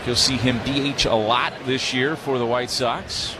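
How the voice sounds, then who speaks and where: A man's voice: mid-range voice, fairly even intonation, measured pace. An American man calling a baseball game live on the radio as play-by-play announcer. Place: stadium broadcast booth during a game. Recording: clear crowd noise behind the voice. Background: ballpark ambience.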